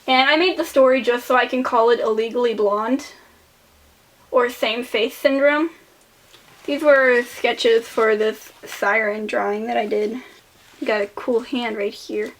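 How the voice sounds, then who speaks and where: Late-teen woman, high pitch, lisp, casual rambling commentary, offhand, self-deprecating, artist vlog voice-over. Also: steady tone, measured pace, rough recording. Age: late teens. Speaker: artist showing old sketchbooks.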